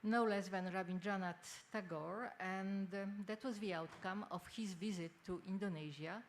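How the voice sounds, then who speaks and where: An older woman from Poland with a Polish accent gives a formal conference lecture in halting, hesitant phrases. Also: medium pitch, fairly even intonation. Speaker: academic speaker, textile researcher. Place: indoor symposium venue. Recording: noisy live symposium room recording.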